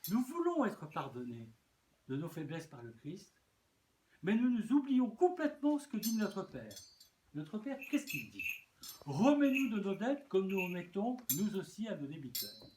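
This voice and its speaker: A man's voice: high voice, huge pitch swings, a little slow, dark tone. A man preaching a sermon as a bishop, earnest, often repeating words and restarting. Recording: echoey room with faint noise.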